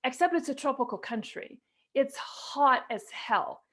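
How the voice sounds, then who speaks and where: A middle-aged woman guest on a video call, telling her own story with animated gestures and frequent fillers and restarts. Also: high voice, a little slow. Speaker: guest, nutrition coach. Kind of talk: candid interview storytelling. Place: indoors at a window with a snowy forest view. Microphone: video call, webcam.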